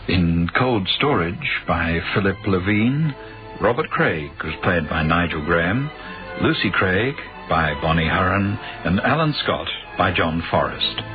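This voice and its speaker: A man's voice: sits low, lively intonation, a little slow, highs cut off. A man working as a radio announcer, formally reading scripted closing credits. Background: music.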